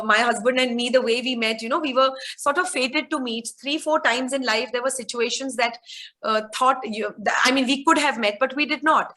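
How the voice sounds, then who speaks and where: Bright high-voiced Indian woman author. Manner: rambling, thinks aloud with frequent restarts. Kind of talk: conversational interview answers.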